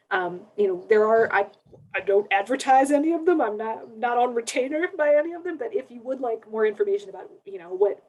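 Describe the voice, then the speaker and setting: A woman academic explaining things conversationally on a panel, in a measured, careful manner. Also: on the high side, a little mellow, a little hiss or hum, low-quality recording.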